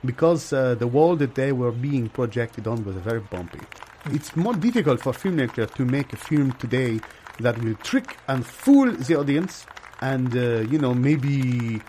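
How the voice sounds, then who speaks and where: A man playing a grandiose, confidently absurd film festival host, improvising mock live broadcast banter with theatrical, deliberate phrasing. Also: medium pitch, sing-song, dark tone, slight background noise, close to an everyday voice. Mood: grand, enthusiastic.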